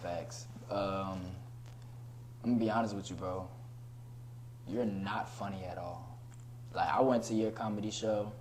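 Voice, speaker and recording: Young man co-hosting, teasing and loud. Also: lowish voice, measured pace, long pauses, a little hiss or hum, rough recording. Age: 20s. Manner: teasing, breaks into loud excited outbursts. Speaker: podcast co-host. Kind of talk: casual joking chat.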